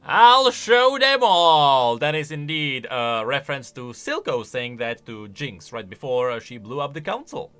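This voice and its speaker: A man giving unscripted reaction commentary on game voice lines in an animated, exclamatory way. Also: medium pitch, normal speed, hardly any pauses, faint music behind. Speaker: YouTube commentator.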